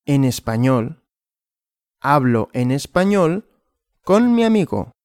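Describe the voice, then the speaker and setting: Male language teacher, very slow and deliberate, lively up-and-down intonation, dry close recording. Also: mid-range voice, dry recording. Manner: very slow, deliberate, repeating and asking himself questions. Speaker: Spanish teacher. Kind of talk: slow graded language lesson with questions and answers.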